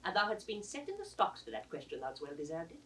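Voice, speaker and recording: A man's voice: a little high, very animated, fast talker, sharp tone. A riddling court fool in a classical audio drama, mocking yet loyal. His voice leaps very widely in a teasing singsong. The 1960s recording is a bit distant, with faint noise. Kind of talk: classical Shakespearean stage acting.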